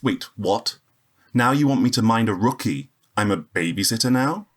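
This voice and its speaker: An actor playing a cocky, weary interplanetary spy in a sci-fi audio drama, wry and deadpan. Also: male voice, lowish voice, moderate pace. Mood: indignant. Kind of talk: noir-style narration and dialogue in sci-fi audio drama.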